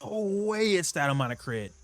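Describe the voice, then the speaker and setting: A man's voice: fairly high pitch, very animated, a little fast, fairly bright tone, dry recording. Casual male gamer thinking aloud. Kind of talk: playing a game on stream. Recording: faint background noise.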